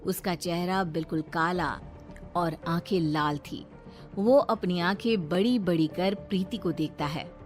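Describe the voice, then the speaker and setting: A woman's voice: on the low side, unhurried. A woman reading scripted horror story narration as the narrator. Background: background music.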